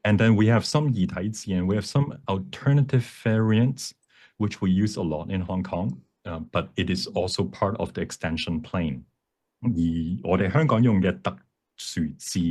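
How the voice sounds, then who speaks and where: A man presenting a live software demonstration at a workshop, explaining as he goes in a halting way. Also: deep voice, breathy edge. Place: online presentation at a computer.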